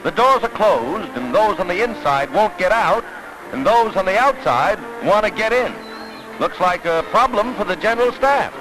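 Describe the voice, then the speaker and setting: A high-voiced American man announces in the grand manner of a 1940s newsreel. His delivery is scripted, declamatory and dramatic, with prominent music running behind him on an old film soundtrack. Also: animated, normal speed, rough recording. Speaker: newsreel narrator. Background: orchestral newsreel music.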